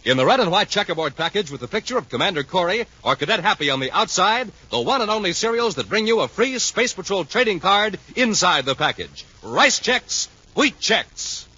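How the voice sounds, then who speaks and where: Animated, emphatic 1950s radio announcer man. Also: sits high, fairly quick, few pauses, husky. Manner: enthusiastic, emphatic, showy. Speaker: announcer and sponsor pitchman. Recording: muffled, noisy 1950s radio recording.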